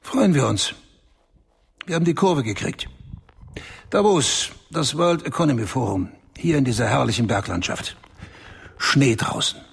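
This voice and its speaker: A man's voice: medium pitch, lively intonation, measured pace, creaky, pauses often, slight background noise. A man in a radio drama playing a self-satisfied bank chief who speechifies. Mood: smug. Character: smug male bank chief, proud self-made man.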